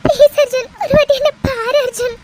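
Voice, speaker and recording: A woman's voice: extremely high pitch, steady tone, brisk. A woman playing a distraught, devoted young woman in an audio drama, crying and pleading over her injured beloved. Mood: tearful pleading. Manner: tearful, pleading, repetitive begging. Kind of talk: melodramatic Tamil audio drama acting. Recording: noisy recording with clicks.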